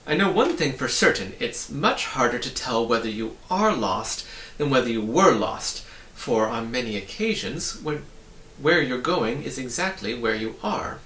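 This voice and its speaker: A man plays a storybook gentleman, proud and eager, with a bright, swooping delivery. He explains himself emphatically and at length, in a roomy recording. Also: medium pitch, big pitch swings, measured pace. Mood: confiding, pondering. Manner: emphatic, cheerfully self-important, rambling explanations. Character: ordinary-sized man claiming four size titles, brightly proud, eager. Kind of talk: storybook character reading. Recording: roomy, distant mic, faint noise.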